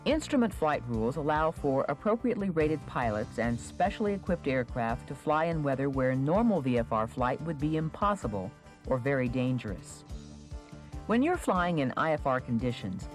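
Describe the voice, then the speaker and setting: A narrator reading a scripted instructional video in a measured, clearly enunciated manner. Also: medium pitch, big pitch swings, soft background music.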